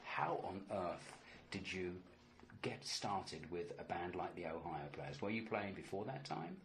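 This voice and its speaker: Deep-voiced chatty radio interviewer. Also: male voice, a little slow. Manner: chatty, jokey, enthusiastic. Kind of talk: casual interview chat. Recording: faint background noise.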